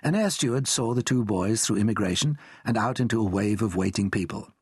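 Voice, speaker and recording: Lively, slightly husky British male storyteller. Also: lowish voice, brisk, no room sound, clean studio sound. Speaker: audiobook narrator. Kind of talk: expressive scripted children's story narration.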